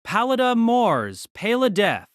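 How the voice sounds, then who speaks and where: A woman voicing a gruff, irritable teenage boy with a wolfish temper in an audiobook, surly and threatening. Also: fairly high pitch, wildly swooping pitch, a little slow, no echo, clean studio sound. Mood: menacing.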